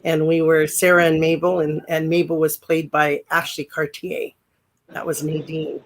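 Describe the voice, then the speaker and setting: A deep-voiced woman in her sixties talks through her memories as an interview guest. She rambles, circles back on herself and fills pauses with ums. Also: little pitch movement. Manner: rambling, full of fillers and restarts. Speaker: guest, former pro wrestler. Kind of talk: casual interview chat.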